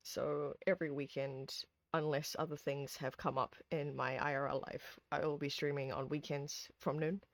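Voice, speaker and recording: Hoarse sleepy streamer, gravelly, dry close sound, faint music underneath, rambly with ums. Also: mid-range voice, little pitch movement, normal speed, few pauses, no echo. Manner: rambling, lots of ums and restarts. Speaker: streamer host. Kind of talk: casual livestream chat over a horror visual novel.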